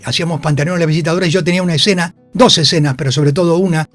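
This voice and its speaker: A man's voice: a little high, fairly even intonation, rapid-fire, a bit bright, faint music behind. A man, a theatre actor and director, talks in a radio interview, telling anecdotes emphatically and repeating phrases. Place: radio studio.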